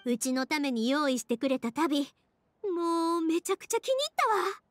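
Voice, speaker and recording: Bright, high-pitched Kansai anime heroine. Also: female voice, normal speed. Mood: warm, cheerful. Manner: lively, friendly, expressive. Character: cheerful warm-hearted young woman fireworks maker, anime game heroine. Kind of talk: Japanese anime game voice acting. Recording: game dialogue over music.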